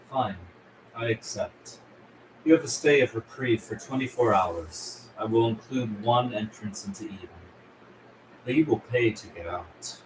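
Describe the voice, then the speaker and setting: A man reading the part of a formal, calculating accountant who negotiates in measured, condescending phrases at a script table read. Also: lowish voice, natural voice. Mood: resigned, measured. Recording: roomy echo with clear background noise.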